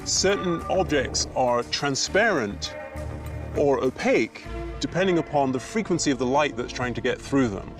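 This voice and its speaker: Male expert interviewee, medium pitch, measured explanations, clear music underneath. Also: lively intonation.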